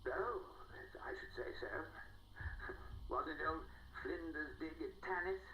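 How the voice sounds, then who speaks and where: An actor playing a pompous, blustering aristocratic archaeologist with an upper-class English accent and a stuffy, spluttering manner, in a period game audio log. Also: male voice, on the high side, slow. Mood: grudging. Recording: roomy, muffled old telephone-like audio log, faint noise.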